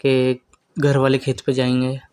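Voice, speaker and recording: A man's voice: medium pitch, monotone, unhurried, a little mellow, clean tone. Young man teasing on a private call.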